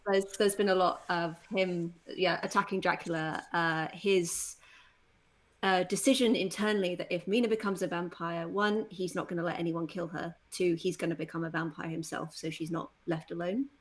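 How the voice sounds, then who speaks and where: A woman's voice: lowish voice, steady tone, normal speed. A woman interviewing in a conversational, agreeable and enthusiastic way.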